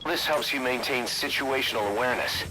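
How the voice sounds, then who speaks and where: An actor playing a brisk military support officer giving instructions to a soldier in a video game. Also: male voice, mid-range voice, very noisy, natural voice. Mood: brisk, instructive. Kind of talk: scripted game tutorial instructions.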